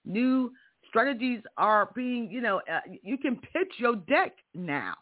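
A man's voice: very high voice, very animated, slow pace. A man with a strong voice hosting a career podcast, giving an emphatic motivational monologue full of rhetorical questions. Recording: tinny phone-like audio.

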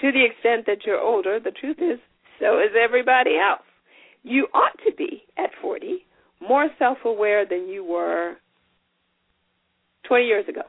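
Woman, 40s, solo podcast host; folksy, encouraging, husky, a little high, recorded dry and tinny. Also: animated, moderate pace, long pauses, no echo. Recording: tinny phone-like sound.

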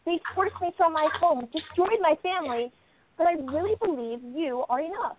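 A headstrong princess from a radio play, acted by a woman, speaking high with confident insistence. She is curious and sure of herself. The sound is narrow and phone-like, with clear noise on it. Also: expressive, moderate pace. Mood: firm. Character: curious, headstrong exiled princess playing amateur sleuth. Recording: thin phone-like sound, noisy line.

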